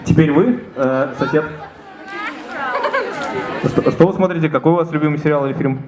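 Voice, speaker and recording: Man, brisk moderator, slightly high voice, echoey hall, noisy crowd. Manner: brisk, repeats "так" between questions. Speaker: moderator polling the audience. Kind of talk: casual questioning of a school audience. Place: large hall. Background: crowd of pupils.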